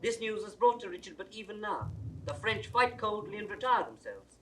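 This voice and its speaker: A man's voice: sits high, big pitch swings, normal speed, fairly bright tone. An actor playing a battlefield messenger, hurriedly declaiming his report in classical Shakespearean style. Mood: urgent. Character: breathless male messenger from the battlefield. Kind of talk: 1960s classical Shakespeare audio drama acting. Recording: distant, noisy vintage 1960s recording.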